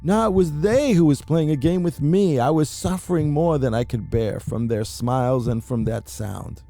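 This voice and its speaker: A man giving a theatrical, deliberate first-person dramatic reading of a horror story, as an anxious, mad murderer confessing. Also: fairly high pitch, creaky, warm tone. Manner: theatrical, deliberate, with emphatic repetitions. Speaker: amateur narrator reading a horror short story.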